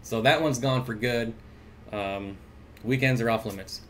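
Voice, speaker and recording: A man's voice: medium pitch, a little slow, a bit bright, slight background noise. A man hosting an aquarium livestream, chatting casually and reading viewer comments aloud with jokey asides. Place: home room with aquariums. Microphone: desk mic at computer.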